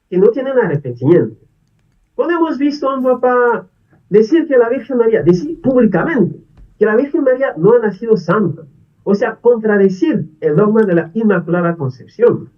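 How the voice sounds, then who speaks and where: A priest who is not a native Spanish speaker, making an earnest, pleading video appeal to the faithful and asking questions over and over. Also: male voice, high voice. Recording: distant dull lo-fi video audio.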